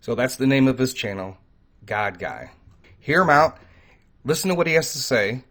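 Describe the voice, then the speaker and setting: A man's voice: mid-range voice, animated, fairly bright tone. A man hosting his own channel, talking through Bible stories and faith in a loose, rambling monologue with earnest emphasis. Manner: earnest, rambling, pauses to stress key words. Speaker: host of a faith and crypto channel. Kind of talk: casual preaching monologue.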